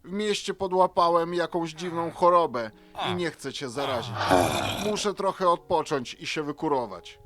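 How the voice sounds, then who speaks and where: A man voicing a young lumberjack brother who has fallen ill, in an animated horror story over music. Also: fairly high pitch, normal speed, lightly acted. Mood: uneasy. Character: young village lumberjack brother, sick and wary. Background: background music.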